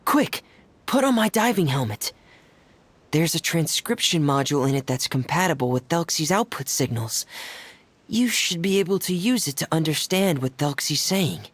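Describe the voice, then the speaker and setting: A man voicing an earnest, reserved young male diver in a game, gentle and measured, as if reading a storybook. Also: fairly high pitch, sing-song, slightly rough, dry recording. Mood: urgent.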